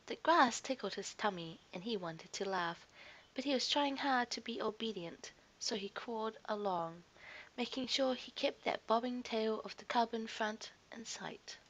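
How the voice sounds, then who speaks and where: A woman's voice: a little high, moderate pace, fairly bright tone, rough recording. A woman narrating a scripted children's story expressively, as a storyteller to young listeners.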